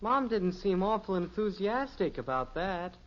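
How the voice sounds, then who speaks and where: An actor playing a lazy, easygoing American teenage son in a 1950s radio sitcom, boyish and guileless with wisecracks. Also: male voice, sits high, big pitch swings, measured pace. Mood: innocent. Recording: muffled, noisy old radio recording.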